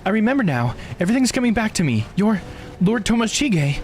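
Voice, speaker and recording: Man putting on a high, earnest immortal woman. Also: very animated, a little fast, soft background music. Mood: astonished recognition. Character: stunned immortal woman of eight hundred years, earnest.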